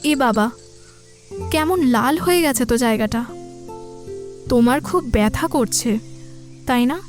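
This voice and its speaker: An actress playing a gentle, caring young village woman in an audio drama, speaking in a soft, concerned way. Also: fairly high pitch, a little slow, soft background music, close to an everyday voice. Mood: concerned, tender.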